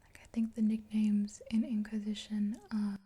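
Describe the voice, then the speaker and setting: A woman in her twenties whispering softly and gently in a rambling, casual ASMR roleplay, close to the mic. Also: mid-range voice, completely flat delivery, normal speed. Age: 20s. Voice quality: breathy whisper. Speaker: ASMR roleplayer as makeup artist.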